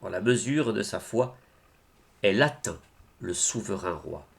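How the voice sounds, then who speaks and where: A man reciting religious poetry in a solemn, declamatory way, phrase by phrase with deliberate pauses. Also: lowish voice, lively intonation, creaky. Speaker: reader of devotional poetry.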